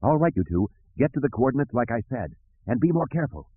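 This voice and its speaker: A man's voice: medium pitch, very animated, fast talker, husky, narrow, tinny audio, voice pushed up. A man voicing an earnest, commanding young space hero with an urgent, direct manner in an audiobook. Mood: exasperated.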